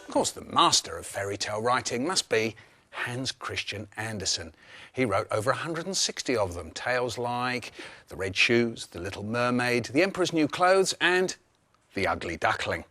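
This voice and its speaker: A man's voice: mid-range voice, sing-song, normal speed, sharp tone, soft background music. A man hosting a book discussion, presenting and putting questions to guests, with faint background noise. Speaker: host.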